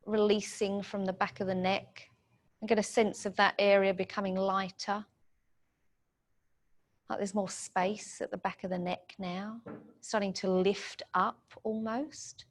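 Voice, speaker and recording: A woman guides a meditation in a mid-pitched voice. She gives soothing, gentle instructions in short, unhurried phrases, leaving room for the listener to follow. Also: fairly even intonation.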